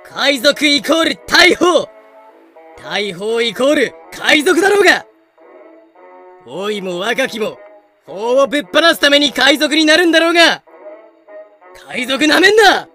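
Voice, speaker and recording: 甲高く荒っぽい大砲狂の海賊. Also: male voice, very high voice, very animated, measured pace, pauses often, bright, forceful tone, faint music behind, exaggerated voice. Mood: blustering anger. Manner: blustering, rough pirate speech. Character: hot-headed cannon-obsessed male pirate, foul-mouthed and bellowing. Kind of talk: fan-made anime-style voice drama.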